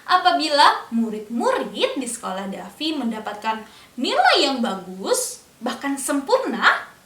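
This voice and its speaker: A young woman telling a picture-book story to small children, in a slow sing-song way, stopping to ask them questions. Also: sits high, huge pitch swings, fairly bright tone, far from the mic. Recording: echoey room, a bit off-mic.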